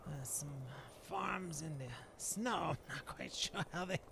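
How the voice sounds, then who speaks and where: Man voicing a slow, creaky, musing dark elf shaman. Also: fairly high pitch, slight background noise, heavily acted. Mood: amused, calm. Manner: musing, halting, formal old-fashioned phrasing. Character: proud, stubborn male dark elf shaman adventurer. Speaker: gameplay host roleplaying in character. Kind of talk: improvised in-character fantasy game narration.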